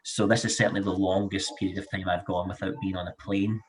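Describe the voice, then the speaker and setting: A man with a Glasgow Scottish accent, a charity fundraising director speaking in a panel discussion in a measured, steady way. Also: low-pitched, a crowd in the background. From Scotland.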